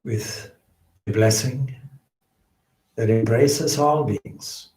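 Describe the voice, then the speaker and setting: A man leading a guided meditation as a swami, with measured, solemn phrasing and frequent pauses. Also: medium pitch, a little mellow. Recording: roomy, echoing indoor sound.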